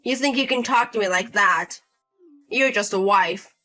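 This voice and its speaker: A woman's voice: medium pitch, a little slow, pushed and bright, faint music behind. A woman voicing a bullying, entitled older brother-in-law in his thirties, demanding and condescending. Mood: contemptuous.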